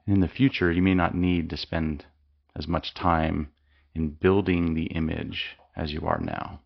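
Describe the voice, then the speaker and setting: Low, gravelly man guiding a meditation. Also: lo-fi sound. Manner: slow, calm, soothing instructions with long pauses. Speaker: meditation guide. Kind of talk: guided meditation.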